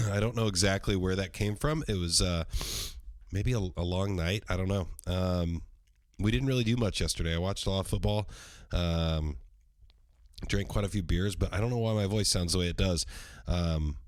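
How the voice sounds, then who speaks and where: Hoarse low-voiced man, rambling casually. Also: normal speed, no echo, studio quality. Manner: rambling and profane, with many ums. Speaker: podcast host. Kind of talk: casual solo podcast livestream.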